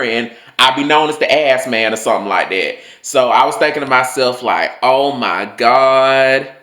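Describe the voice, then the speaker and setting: Casual young male vlogger, talky and profane, mid-range voice that drifts up and down; roomy echo. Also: sharp tone. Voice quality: voice swings higher and lower. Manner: chatty, loose, full of "like" and swearing. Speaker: vlogger telling personal stories. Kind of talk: casual storytelling monologue.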